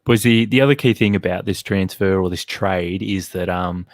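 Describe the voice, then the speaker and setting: An Australian man hosting a football podcast, chatting casually and often pausing with ums and restarts. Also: low voice, no room sound, clean studio sound. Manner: hesitant, lots of ums and restarts. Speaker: podcast host. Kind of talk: casual sports chat.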